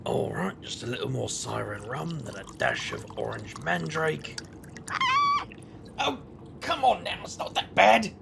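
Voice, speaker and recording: A man playing a cocky, wry magician hero in an urban fantasy audio drama, gravelly and bantering. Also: fairly high pitch, lively intonation, a little slow, background noise, heavily acted. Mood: casual teasing. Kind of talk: audio drama dialogue and first-person narration.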